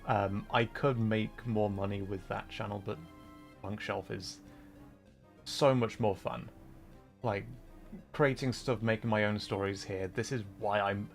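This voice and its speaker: A man chatting casually on a livestream while playing a game, rambling and halting as he thinks aloud. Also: on the low side, creaky. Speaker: livestreamer, VTuber and content creator.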